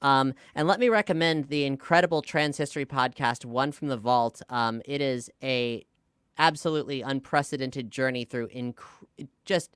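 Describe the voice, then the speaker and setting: A woman with a smooth, clear voice talks casually as an interview guest. Her delivery is informal and reflective, with thoughtful hesitations. The recording is close and dry. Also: very deep voice, normal speed, no echo. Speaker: podcast guest, audio drama creator.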